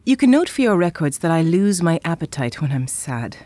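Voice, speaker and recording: A woman's voice: sits low, very animated, a little fast, mellow, no room sound. An actress playing a frank, playful, independent woman in a naturalistic audio drama. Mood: sad, wry.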